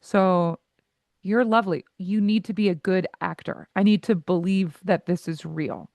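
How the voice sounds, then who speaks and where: A woman in her forties, a voice coach and guest in an interview, who talks candidly in quick asides and laughs easily. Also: lowish voice, fairly even intonation, vocal fry, a little mellow, no echo.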